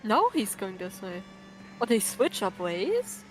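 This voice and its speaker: A woman's voice: medium pitch, very animated, slow pace. A young woman co-commentating on a game stream, chatting casually and teasingly. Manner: joking, teasing. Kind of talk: casual chat over gameplay.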